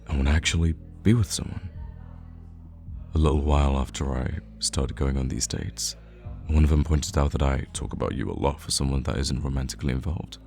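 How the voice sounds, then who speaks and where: Guy playing a lovestruck best friend in asmr roleplay, super deep crackly voice, soft-hearted and kinda shy teasing. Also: gravelly. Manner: hesitant, tender, playfully teasing. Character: smitten young male best friend confessing romantic feelings. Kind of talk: intimate ASMR romantic roleplay.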